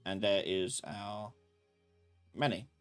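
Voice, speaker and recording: A man teaching a live software class, thinking aloud in a casual, informal way as he explains step by step. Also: lowish voice, steady tone, slow pace, clean tone, soft background music.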